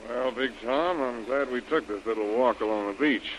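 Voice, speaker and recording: An actor playing a sly, bossy, scheming villain in a 1930s radio adventure serial. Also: male voice, medium pitch, normal speed, a little hiss or hum, lightly acted. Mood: amused, sly. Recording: muffled old radio recording.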